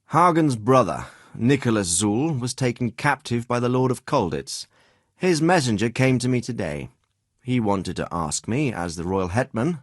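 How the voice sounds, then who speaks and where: An actor playing a middle-aged noble lord and commander in a video game, with a measured, formal and paternal manner. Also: male voice, medium pitch, dry recording, clean studio sound. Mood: grave, deliberating. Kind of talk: acted video game dialogue.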